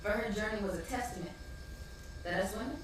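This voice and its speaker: A woman's voice: medium pitch, fairly quick, close to an everyday voice. A woman acting in a stage play as a reflective, heartfelt friend who speaks in an earnest, poetic way. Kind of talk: stage play monologue and spoken word. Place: stage in a large roomy venue. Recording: echoey stage, distant mic, background noise.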